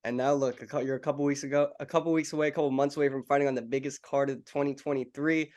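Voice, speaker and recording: A man's voice: mid-range voice, fairly even intonation, racing, a little mellow. A young adult man hosting an interview, friendly and casual, calling his guest "bro" and "man".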